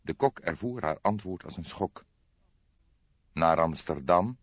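A man's voice: low voice, lively intonation, normal speed, husky, no room sound, highs cut off. A man reading a crime novel as scripted audiobook narration.

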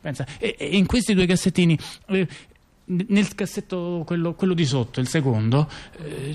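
Man telling a story in halting, digressive bursts. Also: a little high, darkish tone. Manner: halting, digressive, repeats phrases and checks in with the listener. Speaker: storyteller-performer in a two-person stage dialogue. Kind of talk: conversational satirical storytelling.

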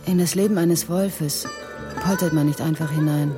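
A woman's voice: deep voice, fairly even intonation, normal speed, music in the background, lightly acted. An actress playing a fierce, yearning woman telling her story in a radio drama.

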